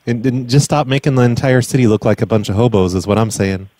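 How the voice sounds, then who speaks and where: A man with a relaxed, mellow voice makes a prank call in total deadpan. He rambles and hesitates as if sincere. The sound is dry, with a mid-range pitch. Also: quick pace, no echo. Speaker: prank caller and podcast host. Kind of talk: prank phone call posing as an earnest caller.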